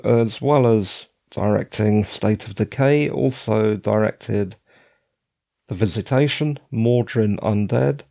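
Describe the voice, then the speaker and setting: A middle-aged man hosting a podcast with a hoarse, croaky voice, chatting in a digressive, self-deprecating way. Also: mid-range voice, dark tone. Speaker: podcast host. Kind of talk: casual podcast commentary.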